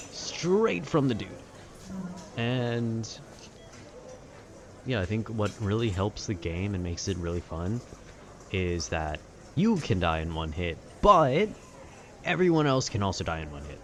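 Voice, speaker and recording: A man in a calm, casual, nerdy ramble about video games, meandering and often interrupting himself. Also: on the low side, slight background noise. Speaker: solo audio creator rambling to the listener. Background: urban ambience.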